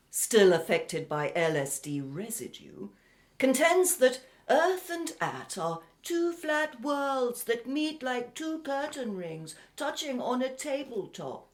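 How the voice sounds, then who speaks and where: An actor playing a precise, haughty, educated foreign exile in a radio play, with formal, pedantic phrasing. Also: medium pitch. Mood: scornful.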